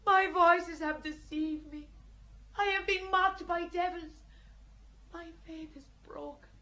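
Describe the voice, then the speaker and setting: A woman's voice: very high voice, steady tone, slow pace, bright, forceful tone, highs cut off, full character voice. A woman playing a bold, devout young French peasant maid in an audio drama, plain-spoken and emotional. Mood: anguished despair. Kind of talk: audio drama acting of a stage play.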